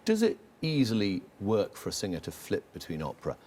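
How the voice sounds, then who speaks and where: A man presenting a live concert broadcast from a concert hall, speaking in a measured, formal way. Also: on the low side, huge pitch swings, a little mellow, a little hiss or hum.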